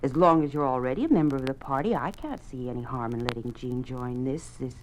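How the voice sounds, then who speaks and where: A woman's voice: extremely low pitch, fast talker, a little mellow. A woman with an American accent playing a placating, reasoning mother in a 1950s radio drama. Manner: soothing, reasoning. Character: placating American mother, anxious to accept and get along. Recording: noisy old 1950s radio recording.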